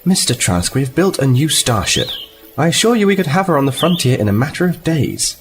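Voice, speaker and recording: An actor playing a polite, earnest and somewhat halting envoy from a space fleet in a science fiction audio drama. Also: male voice, a little high, big pitch swings, a little hiss or hum. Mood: earnest, persuasive. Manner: apologetic, halting, then insistent. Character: polite, earnest, hesitant Starfleet envoy on assignment.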